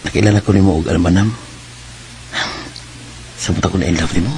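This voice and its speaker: An actor playing a young, possessive Bisaya suitor in a Filipino radio drama. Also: male voice, sits low, steady tone, a little fast, dark tone, slight background noise. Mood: earnest, pleading. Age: young adult. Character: young male suitor, earnest, possessive and jealous.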